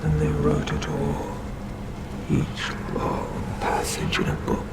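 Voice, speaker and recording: Guy doing creepy ancient elder god asmr, super slow n menacing, half whispered, loud noisy rumble behind it. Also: completely flat delivery. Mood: ominous, patient. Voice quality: breathy, creaky, often dropping into whisper. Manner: slow, deliberate, menacing, long pauses. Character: ancient eldritch god, male, sinister, patient, domineering storyteller. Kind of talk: ASMR roleplay, scripted storytelling.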